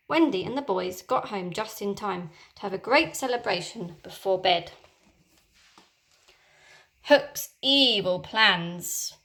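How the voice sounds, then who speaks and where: A teacher reading a children's storybook aloud in a lively, expressive way. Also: female voice, medium pitch, creaky.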